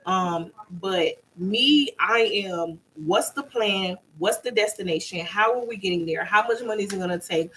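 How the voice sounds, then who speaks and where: Bright, emphatic woman co-host. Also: mid-range voice, moderate pace, few pauses, a little hiss or hum, rough recording. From Bahamian and American parents. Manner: emphatic storytelling with repeated phrases, acts out quotes. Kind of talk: casual couple chat.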